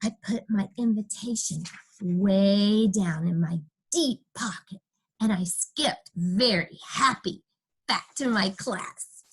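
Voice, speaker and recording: A woman's voice: mid-range voice, slow pace, slightly rough, low-quality recording. A woman voicing a cheeky, stubborn kindergarten girl who tells her own story with childish, emphatic, dramatic delivery. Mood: defiant, pleased. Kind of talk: first-person children's storybook reading.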